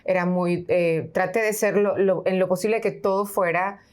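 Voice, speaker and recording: A woman's voice: lowish voice, fairly even intonation, normal speed. A woman with a Venezuelan accent, a show host telling a personal story in a chatty, anecdotal way. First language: Spanish. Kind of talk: conversational interview.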